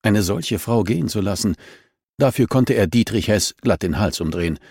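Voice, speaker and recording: Low-voiced male audiobook narrator, dry sound. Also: animated, normal speed, a little mellow, husky, no room sound. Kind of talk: scripted crime novel narration.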